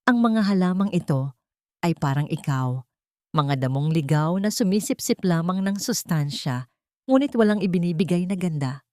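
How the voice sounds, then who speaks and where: A woman's voice: lowish voice, lively intonation, moderate pace, a little mellow, dry recording, clean studio sound, natural voice. A woman voicing a cruel, contemptuous elderly rich matriarch in a dramatic audiobook, speaking in a cold, commanding way. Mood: cold contempt. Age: older. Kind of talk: dramatic audiobook character reading.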